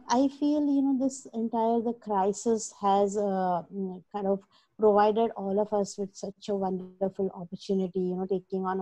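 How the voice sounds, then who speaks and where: Mellow-voiced woman panelist. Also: mid-range voice, no echo, rough recording. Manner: hesitant, searching for words. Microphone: video call.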